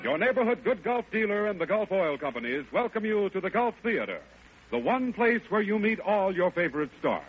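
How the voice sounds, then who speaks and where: Male vintage show announcer, high pitched, grand formal 1940s projection, dull old recording. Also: big pitch swings, a little fast. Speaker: opening announcer. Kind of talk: 1940s radio show introduction. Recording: muffled, faintly noisy vintage radio recording.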